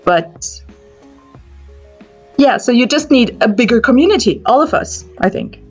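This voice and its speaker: A woman's voice: on the high side, lively intonation, unhurried, husky, soft background music. A woman from Austria, speaking on a discussion panel about escape rooms and thinking aloud with restarts. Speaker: escape room enthusiast panelist.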